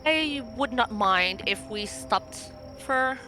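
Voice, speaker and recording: A woman playing a tabletop roleplaying game, talking casually and informally with some halting phrasing. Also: on the high side, expressive, sharp tone, faint music behind.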